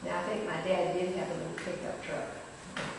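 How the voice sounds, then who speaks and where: Older woman, casual reminiscence, fry in the voice, distant mic with clear noise. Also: fairly low pitch, little pitch movement, normal speed. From rural Missouri, United States. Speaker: former pupil and local historian sharing memories. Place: large echoing indoor room, recorded from a distance. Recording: very echoey hall, distant mic, noisy.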